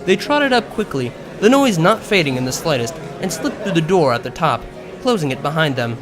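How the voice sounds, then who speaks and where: A man reading scripted fiction as an expressive narrator, audiobook style. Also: a little high, brisk. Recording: music behind the voice.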